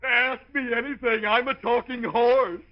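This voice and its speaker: A man's voice: extremely high pitch, steady tone, unhurried. An actor playing a silly, absurd male floorwalker in an old time radio comedy. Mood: gleeful, silly. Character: silly, loony male store floorwalker claiming horsehood. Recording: dull, very noisy vintage radio recording.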